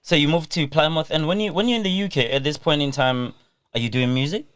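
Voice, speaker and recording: A man hosting a podcast interview, asking questions and answering with quick short replies. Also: on the high side, a bit bright, clean tone, no room sound. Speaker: podcast host. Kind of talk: casual interview. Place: podcast studio with backdrop. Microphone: boom-mounted podcast mic, headphones.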